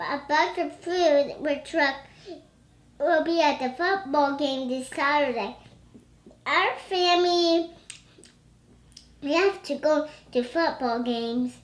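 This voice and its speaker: A young child with a very high, bright voice reads a storybook aloud and chats. The delivery is slow and halting, with stumbles over words, in a roomy-sounding recording. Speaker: young reader. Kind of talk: reading a storybook aloud, chatting.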